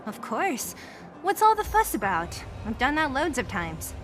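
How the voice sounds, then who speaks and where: Headstrong young fighter, cocky, brushes off rules, a bit high, game dialogue. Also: female voice, huge pitch swings, unhurried, slight background noise, full character voice. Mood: defiant. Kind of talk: video game voice acting.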